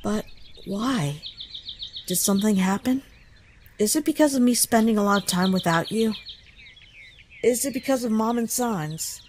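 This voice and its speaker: A woman voicing a kind, concerned human child in a comic dub, gentle, earnest and thoughtful. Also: fairly high pitch, measured pace, pauses often, a little hiss or hum, lightly acted. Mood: worried. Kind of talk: scripted comic dub acting.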